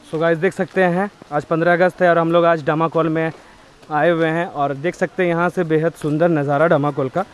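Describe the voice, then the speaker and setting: A man's voice: fairly high pitch, flat delivery, brisk, clean tone. A man talking casually to his viewers as a travel vlogger, chatty and calling them guys, filming outdoors by a waterfall. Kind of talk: casual vlog talk to viewers. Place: outdoors on a hill path by a waterfall.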